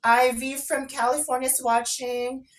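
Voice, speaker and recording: A woman's voice: high voice, level tone, normal speed. A woman co-hosting a casual online talk show, explaining things and giving advice. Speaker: co-host and makeup artist.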